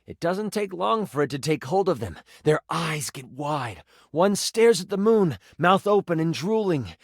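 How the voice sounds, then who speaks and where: A man's voice: a little high, lively intonation, unhurried, dry recording, studio quality. An actor playing a game master, a man narrating a fantasy quest in a theatrical, suspenseful and emphatic way. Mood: dramatic.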